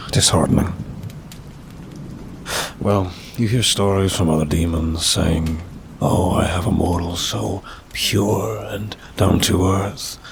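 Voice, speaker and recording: Casting: man to play a wry demon for ASMR roleplay, deep male voice, unhurried, bored and condescending, rain behind. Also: vocal fry, slight background noise. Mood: bored, puzzled, then wry, disappointed. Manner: dry, languid, drawling, faintly mocking. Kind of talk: ASMR roleplay, intimate scripted monologue.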